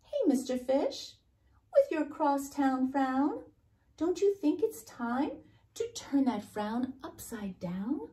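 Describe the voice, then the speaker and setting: A woman's voice: sits high, sing-song, slow pace, warm tone, voice pushed up, exaggerated voice. A woman plays a friendly female clam from a picture book. She coaxes in a lifted, lilting voice with lots of melodic movement, like someone giving kind advice. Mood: coaxing.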